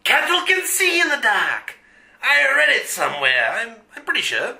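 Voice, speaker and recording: Pompous evil cowboy villain, real high and swoopy, radio play spoof, echoey room. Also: male voice, huge pitch swings, moderate pace, vocal fry, pushed and bright, heavily acted. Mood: indignant, pompous. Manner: pompous, theatrical, gleefully evil. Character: vile scheming melodramatic mustache-twirling male western villain. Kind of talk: parody of old radio western serials. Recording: roomy echo.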